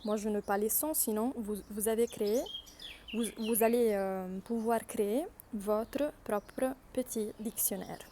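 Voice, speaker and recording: A woman's voice: fairly high pitch, steady tone, unhurried, dark tone, slight background noise. A woman whose first language is Italian, leading a workshop and demonstrating an app step by step, narrating each click with small hesitations. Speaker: workshop leader teaching learners.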